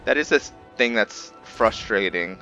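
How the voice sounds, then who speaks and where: A man giving casual commentary on his roleplay playthrough, haltingly thinking aloud as he goes. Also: lowish voice, animated, sharp tone, dull audio, faint music behind. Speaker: gamer narrating a roleplay playthrough.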